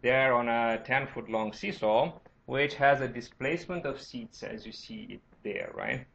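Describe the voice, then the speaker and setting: A man's voice: medium pitch, measured pace, vocal fry, fairly bright tone. A man from Romania with a Romanian accent, lecturing informally as a university teacher and often checking in with "right?" and "okay?". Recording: dull, lo-fi lecture recording.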